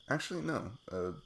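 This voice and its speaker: A man playing an affectionate, goofy best friend on a date in an intimate ASMR roleplay, rambling playfully in a husky, gravelly voice. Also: mid-range voice, heavily acted. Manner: rambling, playful, self-deprecating chuckles. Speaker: audio roleplay performer. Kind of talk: intimate ASMR roleplay, improvised casual chat.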